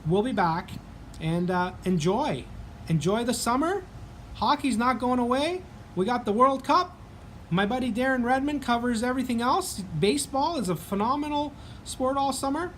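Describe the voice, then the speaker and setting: A man's voice: extremely high pitch, lively intonation, normal speed, a bit bright, slight background noise. A man with a Canadian accent hosting a casual sports vlog, chatting in a rambling way with many ums and restarts.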